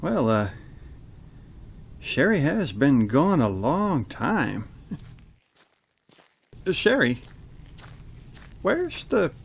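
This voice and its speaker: A man's voice: on the high side, huge pitch swings, extremely slow, vocal fry, long pauses, husky. A man hosting a radio theater show, reading announcements and trading remarks. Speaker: announcer and host.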